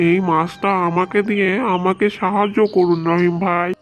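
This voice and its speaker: A man's voice: high-pitched, level tone, normal speed, faint music behind, voice pushed up, close to an everyday voice. A man voicing a pushy village neighbour in a cartoon, wheedling and insistent. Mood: pleading. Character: pushy, pleading male village neighbour wanting fish. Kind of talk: cartoon dub.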